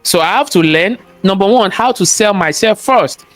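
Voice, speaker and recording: A man with a strong Nigerian accent and a high voice speaks as an interview guest. He talks in a motivational, sermon-like way, repeating key phrases for emphasis and throwing out rhetorical questions. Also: lively intonation, normal speed, a bit bright, faint music behind. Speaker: guest, cybersecurity expert and entrepreneur. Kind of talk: interview conversation, motivational talk.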